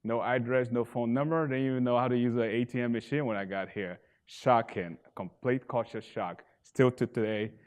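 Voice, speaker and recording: A man in his thirties from Liberia with a Liberian accent, giving an earnest stage pitch for his nonprofit that builds to emphatic bursts. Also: fairly even intonation, moderate pace, few pauses, darkish tone. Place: event stage.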